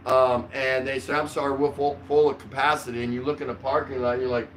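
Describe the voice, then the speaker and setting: An older man hosts a relaxed livestream from a small indoor room. He rambles conversationally with a joking, mildly sweary manner. A light layer of background noise sits behind his voice. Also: mid-range voice, quick pace, low-quality recording. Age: older, around 60. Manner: rambling, jokey, mildly profane. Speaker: livestream host. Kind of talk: casual livestream chat.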